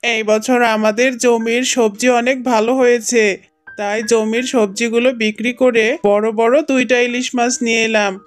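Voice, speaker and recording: A woman voicing a kind, trusting male fox husband and father in a cartoon story, gentle and earnest. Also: on the high side, little pitch movement, moderate pace, clean tone, soft background music, lightly acted. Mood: cheerful, generous. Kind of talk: dramatised Bangla cartoon story.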